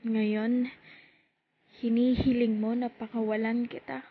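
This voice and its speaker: A woman with a Filipino accent performing heartfelt spoken-word poetry in short, deliberate phrases with pauses. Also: fairly high pitch, flat delivery, husky. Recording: tinny phone-like sound.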